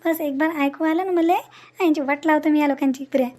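Shrill-high, nosy village busybody played by a woman. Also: fairly even intonation, fast talker, close to an everyday voice. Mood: scheming. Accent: rural Maharashtrian. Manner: prying, sharp-tongued, quarrelsome. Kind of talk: Marathi comedy cartoon dialogue.

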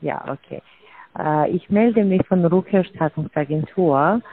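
A woman's voice: on the low side, measured pace. Scam caller woman on tinny phone line. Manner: broken German, repeats scripted lines.

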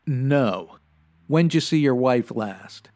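A man acts out a stern police sergeant from a 1950s radio drama. His medium-pitched voice is deadpan and clipped. He questions and narrates in a businesslike, no-nonsense way. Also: slow.

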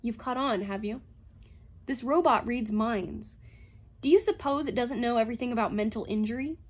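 A woman's voice: a little high, normal speed, breathy edge. A woman voicing a sardonic, bitter female robot psychologist with a cutting, imperious manner, in a story reading. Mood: sarcastic.

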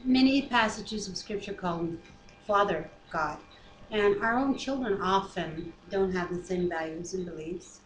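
A mature woman chats as co-host of a home devotional, readily chiming in with casual reflections. Her voice sits at a medium pitch. The webcam microphone picks up a very roomy sound and a faint layer of noise. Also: unhurried. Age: middle-aged to older. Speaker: co-host of a Bible reading series. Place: living room at home. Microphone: webcam microphone at arm's length.

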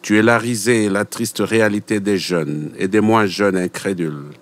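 A man reciting his own poem as solemn spoken word, in a grave, deliberate, declamatory manner. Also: fairly low pitch, fairly even intonation. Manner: grave, deliberate, declamatory, with repeated short phrases.

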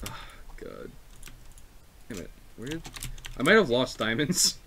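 A man's voice: mid-range voice, huge pitch swings, a little slow, creaky, sharp tone, slight background noise. A young man playing a game with friends, joking and swearing in casual chat. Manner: joking, crude, playful. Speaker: gameplay recorder.

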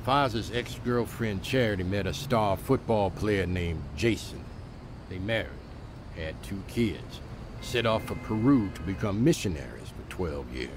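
A man's voice: low-pitched, animated, unhurried. An actor playing a blunt, wry man telling a story in an audio drama. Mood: wry, somber. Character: blunt, wry man recounting a church betrayal. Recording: noisy outdoor urban traffic ambience.

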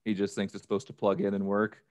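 A man's voice: lowish voice, monotone, fast, darkish tone. A man in his thirties chatting casually on a podcast, close to the microphone. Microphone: close microphone, headset on.

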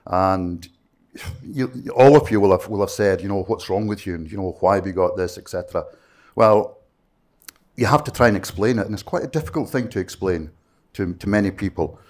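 A man's voice: low voice, brisk, a little mellow. A middle-aged Scottish man, a native Gaelic speaker, talking earnestly on a panel with many fillers into a handheld mic in a conference room. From Scottish Western Isles. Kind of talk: panel discussion talk.

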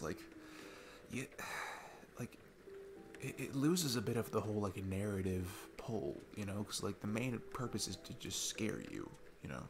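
A man's voice: on the low side, vocal fry. A young man playing an easygoing, earnest divorced dad on a date, chatting in a casual, rambling ASMR roleplay style. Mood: casual, playful. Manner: rambling, informal, chuckling often. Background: music.

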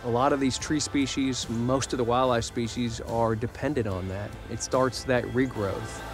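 A man's voice: medium pitch, fairly even intonation, moderate pace, music in the background. A man, a wildlife biologist, enthusiastically explaining young pine forests and wildlife habitat while outdoors in a pine forest.

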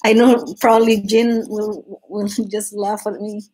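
A woman's voice: mid-range voice, little pitch movement, measured pace, a little mellow, breathy edge, poor audio. A young Filipino woman with a Filipino accent hosting a live drawing stream from her living room, chatting loosely in English and Tagalog, reading chat messages aloud and laughing often. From Philippines. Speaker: livestream host. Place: home living room, indoors.

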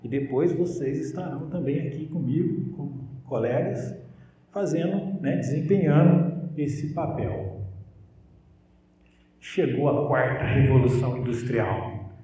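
A man's voice: medium pitch, unhurried. A middle-aged Brazilian man with a Brazilian Portuguese accent gives a conversational university lecture on stage, often tagging sentences with "né?" and "tá?". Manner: conversational, animated, frequent "né?" and "tá?" tags. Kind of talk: lecture to a live audience. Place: large university auditorium stage. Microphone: handheld mic, recorded by a far camera. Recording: roomy auditorium reverb, distant camera.

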